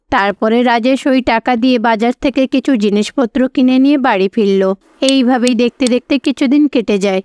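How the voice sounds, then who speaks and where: A woman's voice: high voice, a little mellow. A woman storyteller reading scripted narration for a cartoon moral tale.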